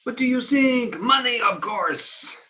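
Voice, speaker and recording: A man playing an excitable, scheming foreign financier in a stage musical, grandiose and goading in a theatrical way. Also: very high-pitched, takes it slowly, voice pushed up, exaggerated voice. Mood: goading, scornful.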